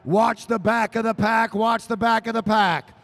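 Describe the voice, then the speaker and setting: A man calling a track cycling race live, rising to shouts as the sprint builds. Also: high voice, measured pace. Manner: excited, shouting names over the finish. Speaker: race announcer. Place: velodrome over a PA.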